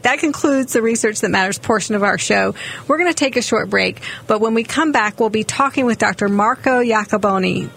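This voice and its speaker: A woman's voice: fairly high pitch, vocal fry, fairly bright tone. A woman, a physician hosting a radio health show, talking conversationally with some ums. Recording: faint background noise.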